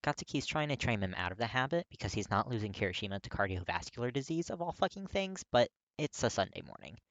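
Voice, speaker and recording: A narrator reading fiction aloud in an expressive, emphatic audiobook style. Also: mid-range voice, fast, no echo. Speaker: podfic narrator.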